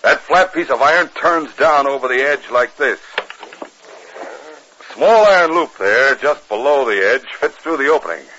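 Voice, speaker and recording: An actor playing a commanding, impatient, scheming outlaw gang leader in a 1950s radio western. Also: male voice, medium pitch, expressive, unhurried, sharp tone, slightly rough, full character voice. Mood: instructing. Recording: noisy, muffled 1950s radio recording with clicks.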